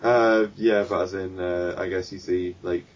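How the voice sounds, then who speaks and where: A man's voice: deep voice, steady tone, normal speed. A man narrating a tabletop game as game master, hesitant and full of ums, on a distant dull mic. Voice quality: hesitant, filler-heavy.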